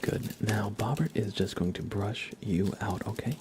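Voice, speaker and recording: ASMR host, male, soft breathy half-whisper, very slow gentle delivery, noticeable background noise. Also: on the low side. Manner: gentle, unhurried, informal.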